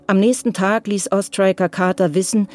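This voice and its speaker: A woman narrating a crime radio play in a detached, measured, matter-of-fact way. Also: medium pitch, steady tone, darkish tone, natural voice.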